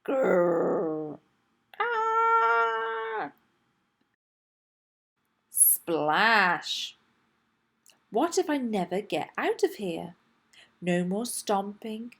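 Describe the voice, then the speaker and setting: A woman voicing an excitable, anxious and boastful toy dinosaur in a children's storybook, with a childlike, dramatic delivery. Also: fairly high pitch, exaggerated voice. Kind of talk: children's storybook read-aloud.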